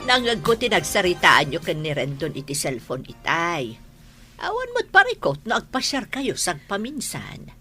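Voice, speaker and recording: A woman playing a kindly, persuasive middle-aged aunt in an audio drama, with a husky voice. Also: fairly low pitch, wildly swooping pitch, normal speed, bright, forceful tone, soft background music, full character voice. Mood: encouraging.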